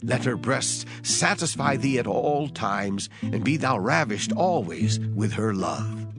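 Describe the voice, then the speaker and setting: A man's voice: mid-range voice, lively intonation, slow pace, vocal fry. A man narrating a dramatised scripture reading with a solemn, declamatory delivery. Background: music.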